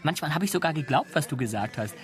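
A man's voice: a little high, animated, quick pace, faint music behind, natural voice. Man playing a brooding, dreamy drifter. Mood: tentative. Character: brooding, dreamy, anxious male drifter and criminal. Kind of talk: radio drama acting.

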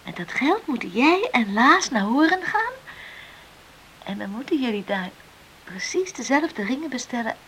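Faint hiss sits behind an eager young woman in a radio play. Her voice is fairly high, earnest and affectionate, coaxing as she rests in her sickbed. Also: very animated, normal speed, fairly bright tone, rough recording. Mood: earnest, confiding. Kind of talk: radio drama acting. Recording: hissy old radio recording.

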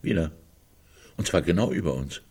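An actor in a radio play voicing an uneasy, polite guest who speaks hesitantly and formally and wants to leave. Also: male voice, deep voice, expressive, moderate pace, darkish tone, husky, heavily acted.

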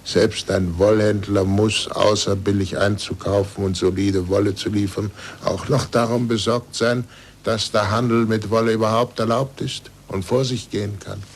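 Low older man, acted, disgraced scientist, deliberate, formal, resigned, radio play, faint old-recording noise. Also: level tone, lightly acted. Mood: reflective. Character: aged recanted scientist under church supervision, bitter and resigned. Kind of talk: 1940s German radio drama acting. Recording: noisy 1940s radio recording.

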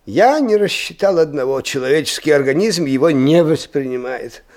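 An actor playing an eccentric old schoolteacher-inventor in a radio play, agitated and dreamy, muttering haltingly and repeating himself between exclamations. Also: male voice, fairly high pitch, lively intonation, darkish tone, natural voice. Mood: wistful explaining. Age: older. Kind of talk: theatrical radio play acting.

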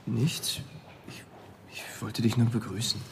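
A man's voice: lowish voice, flat delivery, measured pace. A man in a German radio drama playing a friendly, awkward young student who chats eagerly and stammers apologetically. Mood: eager, unsure. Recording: clear background ambience noise.

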